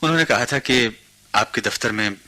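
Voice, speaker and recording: An actor playing a polite, hesitant, kindly hotel worker in a Hindi radio play, speaking courteously and gently. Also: male voice, medium pitch, fairly quick.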